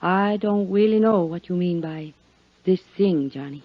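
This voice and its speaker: A woman's voice: lowish voice, a little slow, dry recording. A woman playing a cool, coy, hard-headed businesswoman in a 1950s radio drama, speaking with poised, teasing intimacy. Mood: guarded, cool. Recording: muffled vintage 1950s radio recording.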